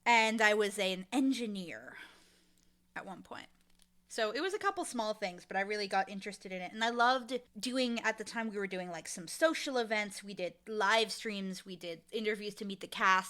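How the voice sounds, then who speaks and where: Woman, twenties, bright forward voice at medium pitch, candid and animated interview guest. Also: fairly quick. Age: 20s. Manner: animated, candid, self-deprecating storytelling. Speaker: audio drama showrunner, interview guest. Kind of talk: casual interview chat.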